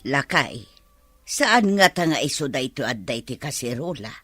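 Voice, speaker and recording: A woman's voice: extremely low pitch, big pitch swings, a little slow, fairly bright tone, slightly rough, no echo, soft background music, heavily acted. A woman playing an exasperated, scolding village mother in an Ilocano comedy radio drama. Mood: puzzled, annoyed.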